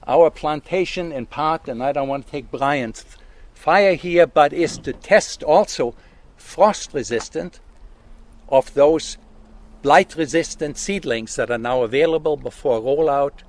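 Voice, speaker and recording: An older man speaks with a German accent, slightly high in pitch for a man. He explains his woodland in a halting, searching way, full of pauses and restarts, outdoors among the trees. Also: darkish tone. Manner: halting, many fillers and restarts. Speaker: landowner. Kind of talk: casual on-site explanation. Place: outdoors in a forest.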